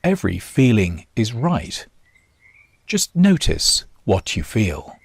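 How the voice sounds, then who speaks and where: A man guiding a body scan meditation in short, measured instructions with long pauses. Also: fairly low pitch, wildly swooping pitch, creaky, husky, dry recording. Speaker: meditation guide. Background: nature sounds.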